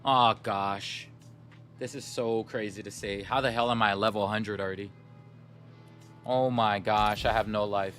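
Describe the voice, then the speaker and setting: A man's voice: fairly low pitch, fairly even intonation, a little slow, a bit bright, soft background music. A man whose first language is Somali, chatting casually and upbeat with his live-stream audience while gaming. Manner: chatty, upbeat, talks straight to chat.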